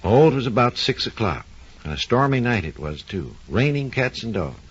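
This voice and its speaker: A man's voice: medium pitch, sing-song, moderate pace, slightly rough. A man playing a knowledgeable doctor who recalls radio's early days, in vivid, folksy scripted 1940s radio narration. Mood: reminiscing, animated. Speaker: expert storyteller. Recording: dull, lo-fi 1940s radio recording.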